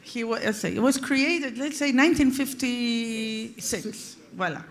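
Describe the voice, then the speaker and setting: A woman's voice: fairly high pitch, unhurried. A woman explaining as a panelist, emphatic and direct, posing rhetorical questions to her listeners. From Greece. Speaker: panelist, policy expert. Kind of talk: panel discussion, unscripted explanation.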